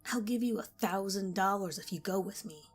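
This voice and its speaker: An obsessive, pushy teenage boy who leans in with hushed urgency, as a woman telling the story puts him on. Faint music lies low behind him. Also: fairly quick, close to an everyday voice.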